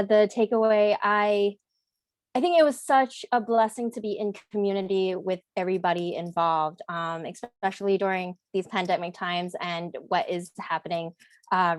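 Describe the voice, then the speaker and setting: A woman's voice: medium pitch, dry recording. A woman introducing herself casually as a stage manager on an online panel.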